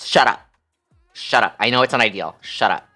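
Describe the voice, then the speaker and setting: A man's voice: on the low side, normal speed, bright, forceful tone. A man chatting casually on a livestream in a sassy, campy, slangy way peppered with swearing. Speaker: live streamer.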